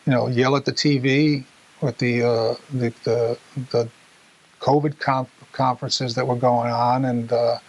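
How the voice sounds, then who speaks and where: A middle-aged man with a mid-range voice that stays fairly flat. He speaks haltingly, feeling for words in a casual personal recollection. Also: mid-range voice, rough recording. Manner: halting, hesitant, many fillers. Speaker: interviewee.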